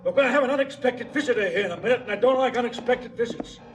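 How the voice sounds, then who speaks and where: A man's voice: extremely high pitch, racing. An actor playing a cocky, fretful gangster boss and hitman with clipped, colloquial tough-guy talk in a darkly comic radio drama. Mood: urgent, anxious. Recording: 1970s stereo radio drama.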